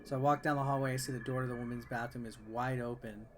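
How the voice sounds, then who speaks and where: A man's voice: medium pitch, steady tone, quick pace, noisy background. A man doing casual, offhand gameplay commentary and reading game text aloud, with game music behind him. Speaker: game reviewer and video host.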